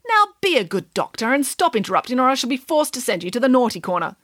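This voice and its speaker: Vrouw speelt imperious storybook female pig nanny, bossy, lively, dry. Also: high-pitched, fast talker, no room sound, voice pushed up, exaggerated voice. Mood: haughty. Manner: haughty, bossy, proper.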